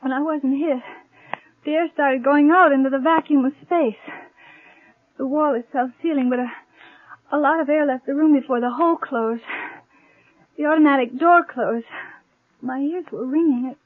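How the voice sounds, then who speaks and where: An actress playing an injured, pregnant young lieutenant, shaken but brave, in a 1950s radio drama. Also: female voice, high voice, normal speed, pauses often, dry recording, natural voice. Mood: shaken, pained. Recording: dry, tinny 1950s radio recording.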